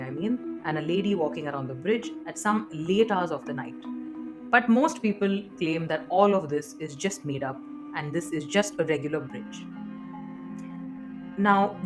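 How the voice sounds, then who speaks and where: Woman, lowish pitch, horror story narrator, addressing listeners, clear guitar music behind.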